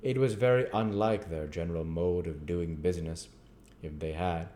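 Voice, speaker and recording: A man reading a classic story aloud as scripted narration, deliberately and phrase by phrase. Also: low voice, mellow. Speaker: audiobook reader.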